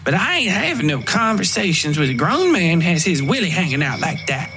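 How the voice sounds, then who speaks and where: A man's voice: high-pitched, very animated, brisk, over music, voice pushed up. A man voicing an evasive, scolding country wife in a horror story, with snappish, nagging rural speech. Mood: scornful.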